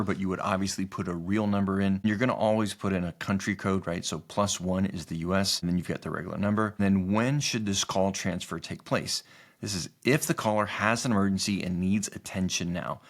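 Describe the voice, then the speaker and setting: A man narrating a step-by-step software tutorial as its host, explaining each part in an instructional way. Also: deep voice.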